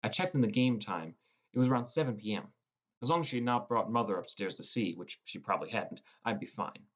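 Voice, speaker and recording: A man's voice: on the low side, expressive, fairly quick. A man narrating a scripted first-person fantasy audiobook as a teenage boy gamer.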